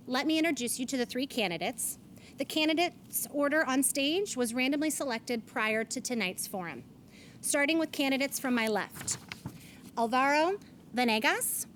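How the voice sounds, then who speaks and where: A woman's voice: sits high, a bit bright, slight background noise. A woman, moderating a candidates forum on stage and reading rules and statements aloud. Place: event stage.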